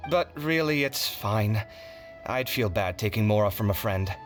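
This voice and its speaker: A man playing a proud, generous young man in an anime video game, casual and theatrically expressive. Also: fairly low pitch, measured pace, over music. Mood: concerned.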